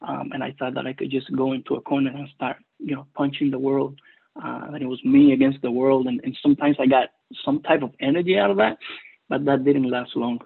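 A man from Cuba with a Cuban Spanish accent, Spanish his first language, giving an earnest personal testimony at a recovery meeting with many hesitant pauses. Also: medium pitch, fairly even intonation, creaky, breathy edge. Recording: tinny phone-line audio.

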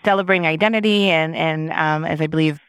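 A woman's voice: sits low, fast, fairly bright tone, smooth, dry recording. A woman from New York answering interview questions thoughtfully, with frequent restarts and fillers. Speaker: podcast guest.

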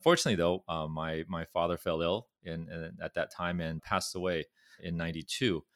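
Deep-voiced Californian businessman. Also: steady tone, brisk, fairly bright tone, no echo, studio quality. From Southern California. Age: middle-aged. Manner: repeats words while gathering thoughts. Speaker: pharmaceutical company chairman, guest. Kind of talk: conversational interview.

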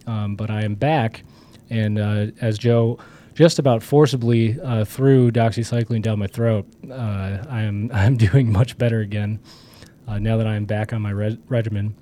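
A man co-hosting a casual podcast, his voice still hoarse, talking in a joking, rambling way with many fillers. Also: on the low side, fairly even intonation, moderate pace, mellow. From Michigan. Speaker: podcast co-host. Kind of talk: casual political podcast chat.

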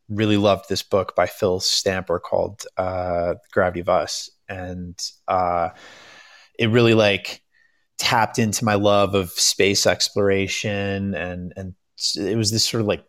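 Low-voiced man, candid and halting. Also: a bit bright, no room sound, clean studio sound. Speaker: actor and podcast creator, panel guest. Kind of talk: casual, reflective chat.

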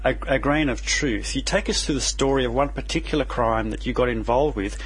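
A man's voice: mid-range voice, fairly quick, husky, rough recording. A man hosting a community radio science show, chatty and casual as he interviews guests.

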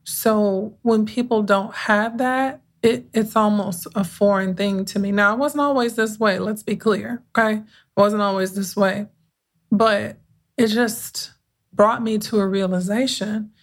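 A woman with a soothing voice talks through her own podcast as a mindset coach. She speaks casually and reflectively, taking her time, pausing to gather her thoughts and restarting phrases as she goes. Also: medium pitch, steady tone. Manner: unhurried, conversational, with thoughtful pauses and restarts.

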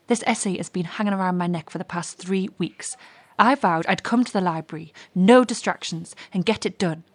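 An actress playing a restless, curious young woman in an audio drama monologue, confiding and wry, with a hushed, husky voice. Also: on the low side, normal speed, dry recording. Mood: curious, distracted.

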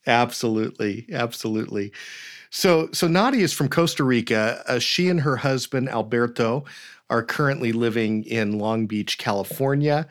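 A man's voice: mid-range voice, normal speed. Enthusiastic man as podcast host on a video call. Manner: upbeat, enthusiastic, with fillers. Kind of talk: conversational interview.

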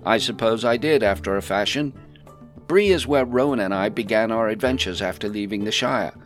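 A man's voice: fairly low pitch, normal speed, soft background music, pitched above the performer's own voice, natural voice. A man voicing a cheerful, hearty older male hobbit who tells stories and jokes with children in a storybook reading. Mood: storytelling. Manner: jovial, hearty, warmly teasing.

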